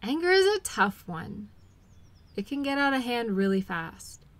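A woman playing a caring, patient girlfriend in an intimate role-play audio, speaking gently and reassuringly to comfort her partner. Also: on the high side, sing-song, a little slow. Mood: calm, thoughtful.

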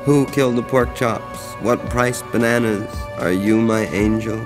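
Low, flat-toned man reciting poetry. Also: unhurried. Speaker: poem narrator. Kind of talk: scripted poetry recitation voice-over. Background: music.

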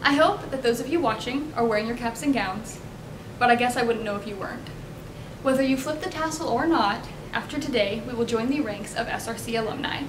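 Young woman, American accent, highish voice, scripted student address, echoey room, noticeable rumble. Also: brisk. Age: young adult. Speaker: student graduation speaker. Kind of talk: scripted commencement speech. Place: indoor multipurpose room at podium.

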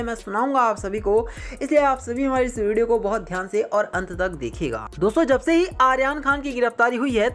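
Female news narrator, somewhat high voice, for scripted sensational channel report.

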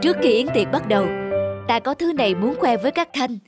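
Expressive woman voicing a cartoon tale. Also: high voice, brisk. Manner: expressive, acted storytelling. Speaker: narrator and voice of all characters. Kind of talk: cartoon voice acting. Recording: music behind.

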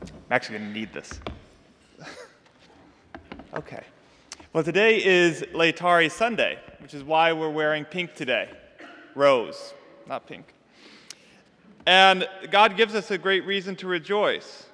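A man's voice: fairly high pitch, expressive, unhurried, pauses often, sharp tone. Young male priest in an echoing church. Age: young adult. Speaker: priest giving a homily. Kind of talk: informal sermon. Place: large stone church, at a lectern. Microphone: gooseneck lectern microphone. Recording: reverberant church hall.

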